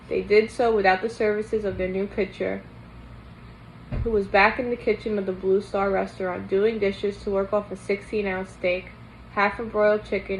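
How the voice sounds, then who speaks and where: A woman reads a children's novel aloud in a storytelling style. Her voice sits at an average pitch, and there is steady noise around her in the recording. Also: low-quality recording. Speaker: guest reader. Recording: clear background noise.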